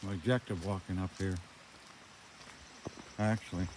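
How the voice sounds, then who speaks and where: A man's voice: deep voice, steady tone, measured pace. An older man talking casually in a plain, folksy way as he rambles through a walkaround commentary outdoors. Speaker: vlogger showing around own farm. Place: outdoors on a rural farm. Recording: noisy outdoor recording with loud ambient noise.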